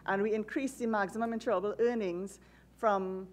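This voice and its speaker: A woman's voice: medium pitch, fairly even intonation, moderate pace, darkish tone, slight background noise. A woman, a national insurance official, giving formal testimony to a committee and stressing her key points. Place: parliamentary committee room.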